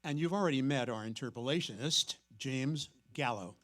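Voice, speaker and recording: A man with a middle-pitched voice presents a literary evening. He explains in a measured way, as if from prepared notes. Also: a bit bright, slight background noise. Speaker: host introducing a staged reading. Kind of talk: prepared introductory talk to an audience.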